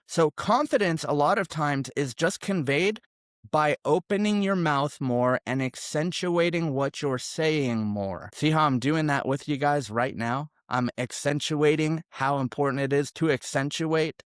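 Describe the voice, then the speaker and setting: A man's voice: a little high, unhurried, no room sound, clean studio sound. A man in his thirties coaching salespeople on sounding confident, speaking emphatically and over-articulating his words. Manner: emphatic, over-articulated, demonstrates example lines. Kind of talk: direct-to-camera sales coaching.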